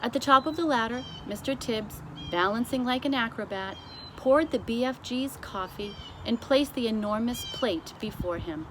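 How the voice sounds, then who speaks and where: A woman with a fairly high voice narrates a children's story expressively. Clear background noise runs under her reading. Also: normal speed. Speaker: storybook reader.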